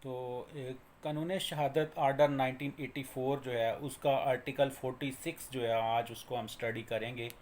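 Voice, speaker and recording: Man, Pakistani accent, medium pitch, informal law lecturer, explanatory, repeats and rephrases, mixes in English terms. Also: fairly even intonation, normal speed, hardly any pauses, darkish tone, a little hiss or hum.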